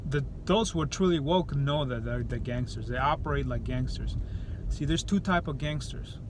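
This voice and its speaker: A man's voice: mid-range voice, moderate pace, noisy background. A man from El Salvador talking straight to camera in an opinionated vlog, blunt and profane, often restarting his sentences. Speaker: YouTuber.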